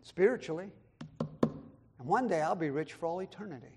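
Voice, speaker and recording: A man with a notably high voice delivers a sermon, quoting scripture aloud. He swells up loud on the big points and sinks to a hush on the asides, now and then acting out other people's words. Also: expressive, normal speed, a little hiss or hum. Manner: emphatic preaching, swings between loud high lines and quiet low asides; quotes scripture and acts out voices of others in the talk. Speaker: pastor.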